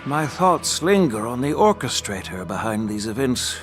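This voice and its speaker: A male actor as an elderly, venerable strategist in a game story scene. He chooses his words formally and with weight, his mid-pitched voice rising and falling freely. Faint music plays behind him. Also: sing-song, lightly acted. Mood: resolute. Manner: formal, deliberate, weighty phrasing. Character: venerable elder statesman, measured, sagely strategist. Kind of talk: scripted video game dialogue.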